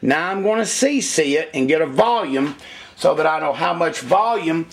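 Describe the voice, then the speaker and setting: Gravelly-voiced man explaining shop work. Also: fairly high pitch, lively intonation, moderate pace. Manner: casual, plainspoken, folksy shop talk. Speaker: engine porting machinist. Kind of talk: hands-on shop demonstration. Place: workshop bench.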